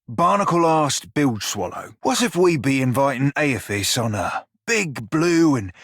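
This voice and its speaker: An actor playing a roguish, bawdy, furry blue pirate in a video game, drawling salty sailor slang with a teasing, wry manner and a rough-edged voice. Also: male voice, medium pitch, a bit bright, dry recording, clean studio sound, heavily acted. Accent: stylised pirate dialect. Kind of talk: video game character dialogue.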